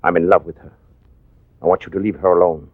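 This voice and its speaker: A man's voice: sits low, steady tone, fast, dry recording. A man acting a menacing, jealous rival in a 1950s radio drama, stiffly polite and precise, with veiled threat. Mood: veiled menace. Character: menacing, formal, jealous male rival villain. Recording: thin, phone-like vintage radio recording.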